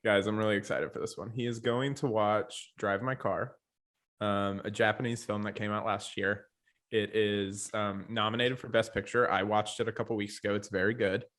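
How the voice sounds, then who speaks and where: A man's voice: lowish voice, steady tone, normal speed, a bit bright. A young man co-hosting a podcast, talking casually and informally with a teasing streak. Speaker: podcast co-host. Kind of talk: casual chat.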